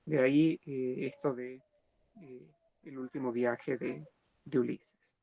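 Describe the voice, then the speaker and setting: A man giving an academic lecture, hesitant, with many pauses and fillers, slow and deliberate. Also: medium pitch, fairly even intonation, creaky, dark tone, no echo, poor audio.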